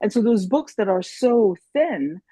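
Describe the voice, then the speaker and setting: A woman's voice: medium pitch, lively intonation, measured pace, mellow, husky, no room sound. A woman in her sixties, a native German speaker raised in France, telling stories animatedly as a podcast guest, with many restarts. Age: 60s. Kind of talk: casual conversation.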